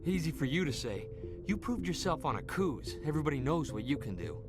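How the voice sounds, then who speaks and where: A man playing an eager, excitable young soldier in a video game, earnest and talkative. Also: fairly high pitch, a little fast, music in the background. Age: young adult. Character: eager young male soldier, excitable, hungry to prove himself.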